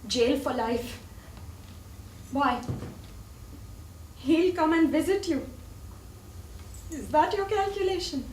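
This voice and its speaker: A woman's voice: sits high, animated, slow pace, long pauses, darkish tone. A woman acting in a stage play as a proud widowed mother, a defiant and pointed rival in love. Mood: challenging. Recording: echoey, distant stage recording with faint noise.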